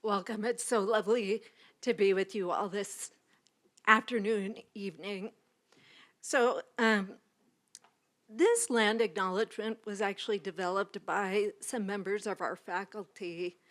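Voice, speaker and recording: A woman professor giving a formal land acknowledgement at a graduation ceremony, in a measured, earnest delivery that is partly read aloud. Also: medium pitch, fairly even intonation, fairly bright tone.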